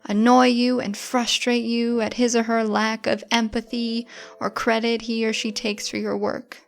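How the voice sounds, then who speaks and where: Slow-paced woman guiding a meditation. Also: on the high side, little pitch movement, gravelly. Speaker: meditation guide. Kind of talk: guided meditation narration. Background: music.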